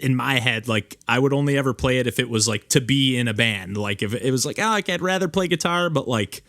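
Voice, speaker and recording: A man's voice: medium pitch, brisk, fairly bright tone, no room sound, clean studio sound. A man hosting a podcast, chatting casually over a remote call and rambling with lots of filler words and restarts. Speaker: podcast host. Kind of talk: casual interview chat.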